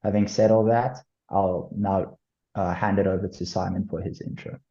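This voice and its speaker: Brief: South African man, deep flat voice, measured webinar presenter, dull call audio. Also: creaky. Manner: measured, formal business talk. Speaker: corporate finance lead.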